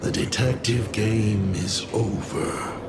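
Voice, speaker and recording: An actor playing a smug, menacing older mentor turned adversary in a video game, in a gravelly voice. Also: male voice, lowish voice, takes it slowly, over loud music. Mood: smug, ominous.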